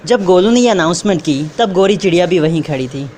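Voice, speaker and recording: A woman's voice: on the low side, animated, fairly quick, a little mellow. A woman narrating a scripted children's story.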